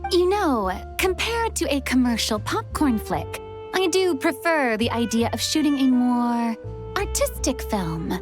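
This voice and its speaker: A woman's voice: high-pitched, big pitch swings, unhurried, soft background music. A woman playing a poised, theatrical lady of the arts in a video game, speaking in a refined manner. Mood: poised, encouraging.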